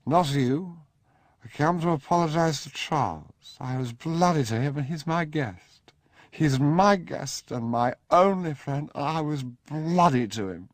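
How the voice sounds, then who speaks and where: A man voicing a wretched young aristocrat, raised well above the reader's own deep register. The delivery is clipped and upper-class, swinging between pleading and sullen. The sound is close and dry. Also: moderate pace, long pauses, a little mellow, no echo, lightly acted. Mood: distraught.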